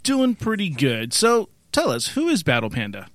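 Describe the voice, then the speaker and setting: A man with a notably high voice co-hosts a casual podcast. His intonation is lively and varied as he chats and puts questions to a guest. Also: normal speed. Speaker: podcast co-host. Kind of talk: casual interview chat.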